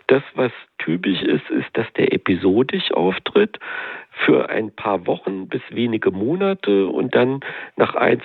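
A man working as a headache specialist, calmly explaining a condition and its treatment in medical terms on a radio call-in show. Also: medium pitch, creaky, breathy edge, no room sound. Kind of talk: radio call-in expert answers. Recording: tinny phone-line radio sound.

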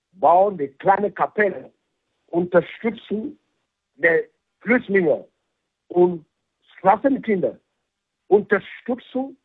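A man, a bishop from Cameroon, speaking slow, halting as an interview guest. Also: husky. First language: a Cameroonian language. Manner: slow, halting non-native German with lively pitch. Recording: tinny phone line.

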